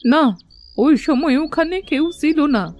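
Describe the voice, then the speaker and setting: A woman's voice: high-pitched, animated, slow, darkish tone, soft background music. A woman playing a blind, aggrieved old village granny in a children's cartoon, plaintive and scolding. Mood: indignant. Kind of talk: Bengali children's cartoon voice acting.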